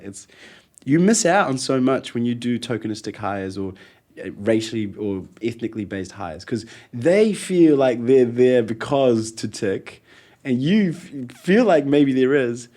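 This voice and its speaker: A man with a New Zealand accent, a guest in an interview, telling stories in a lively way and acting out what people said. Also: mid-range voice, moderate pace. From Ethiopia. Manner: animated storyteller, acts out quotes, laughs often. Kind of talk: conversational interview.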